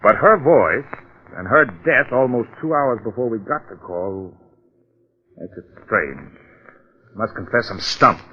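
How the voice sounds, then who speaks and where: A slightly muffled old radio recording of a police detective. He has a fairly low voice and speaks in clipped, commanding phrases, determined and suspicious. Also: lively intonation, measured pace, long pauses, breathy edge. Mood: wary, intent. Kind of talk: old-time radio drama acting.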